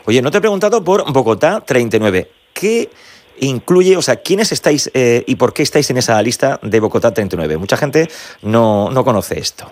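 Male radio host, medium pitch, curious questions dotted with fillers. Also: expressive, normal speed, darkish tone. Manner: curious, asks with fillers like "eh" and "oye". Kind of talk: radio interview.

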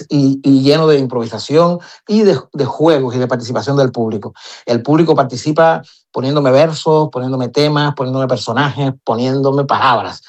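A middle-aged Cuban man, an improvising poet, answering interview questions in an animated way with frequent restarts. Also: medium pitch. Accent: Cuban Spanish. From Cuba. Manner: animated, lots of repeated restarts.

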